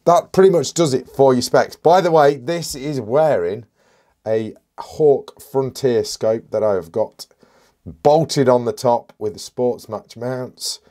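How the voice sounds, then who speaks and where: A man, reviewing an air rifle in an enthusiastic, chatty way while hoarse and a bit stuffy from a cold. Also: medium pitch, takes it slowly, dark tone. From United Kingdom. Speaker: airgun reviewer. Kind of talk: casual product review.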